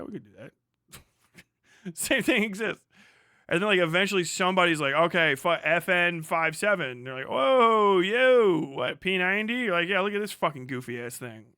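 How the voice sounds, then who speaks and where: Bright-voiced man, blunt and sweary. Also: fairly high pitch, expressive, moderate pace, clear, resonant tone, dry recording, studio quality. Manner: profane, blunt, sarcastic. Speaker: livestream host. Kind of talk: casual livestream commentary.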